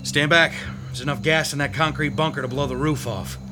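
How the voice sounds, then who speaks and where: An actor playing a calm, tough police detective who speaks in a steady, commanding way, in an old radio drama. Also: male voice, a little high, brisk, close to an everyday voice. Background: music.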